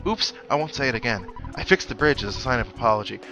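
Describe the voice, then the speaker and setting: A man hosts a video game let's play in a casual, conversational way. His voice sits in a middle register, and he drops wry little asides as he comments. Game music plays clearly behind him. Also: mid-range voice, quick pace.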